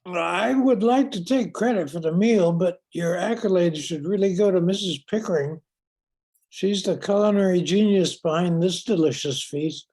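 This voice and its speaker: An older man playing a wealthy, reclusive uncle in an old-time radio drama, speaking haltingly. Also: sits high, a little mellow. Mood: reserved.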